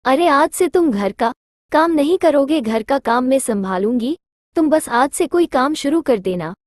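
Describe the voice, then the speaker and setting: Woman playing a high-voiced, bold young daughter-in-law. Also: animated, normal speed, no room sound, studio quality. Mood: firm. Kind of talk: acted dialogue in a moral story cartoon.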